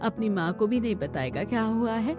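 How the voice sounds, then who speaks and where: A caring, motherly older woman of a poor cartoon household, put on by a female performer. Her voice is set noticeably lower, with music clearly playing underneath. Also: lively intonation, normal speed, natural voice. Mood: soothing. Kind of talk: cartoon story dialogue.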